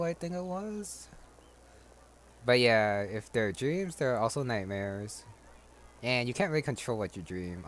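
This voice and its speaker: A man giving casual commentary as he plays a video game. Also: on the low side. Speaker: gamer commenting on a playthrough.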